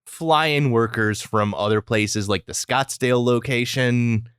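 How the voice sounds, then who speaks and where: A man's voice: medium pitch, a little slow, a bit bright, clear, resonant tone, dry recording, studio quality. A man co-hosting a podcast, chatting casually and comedically with joking asides. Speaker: podcast co-host.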